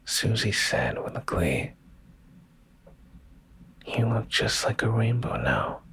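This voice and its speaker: A man speaking in a soft, hushed, soothing ASMR style, reading slowly like a bedtime storyteller, close to the microphone. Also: very animated, slight background noise. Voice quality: very deep, gravelly, breathy near-whisper.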